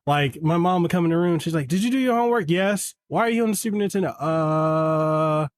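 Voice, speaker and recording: A man's voice: fairly high pitch, a little fast, smooth, no room sound, studio quality. A middle-aged man from Western Samoa whose first language is Samoan, telling a casual story as a podcast guest and acting out family dialogue. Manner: animated, acts out family dialogue.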